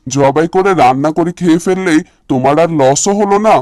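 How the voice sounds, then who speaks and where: A man's voice: on the high side, brisk, darkish tone, no room sound, clean studio sound, natural voice. A man voicing a weary, practical village fisherman husband in a cartoon. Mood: reassuring advice. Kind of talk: cartoon character dialogue.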